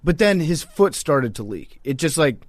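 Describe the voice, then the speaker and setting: A man with a slightly high voice talks sports casually as a podcast co-host. He tells stories in loose, informal, profane language, and the recording is dry and close. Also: big pitch swings, normal speed, no room sound.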